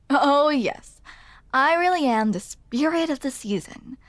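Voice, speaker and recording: A woman's voice: high voice, expressive, slow, dry recording. A woman playing a grumpy but smitten barista in an intimate ASMR roleplay, hesitant, teasing and self-deprecating, close to the microphone. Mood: wry, softly explaining. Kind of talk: ASMR roleplay, intimate one-sided conversation. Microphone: close microphone, dry.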